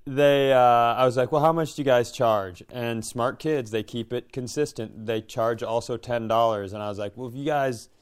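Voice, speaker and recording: A man's voice: mid-range voice, normal speed, few pauses, smooth. An American man chatting casually and comedically as a podcast co-host, with dry, bemused asides.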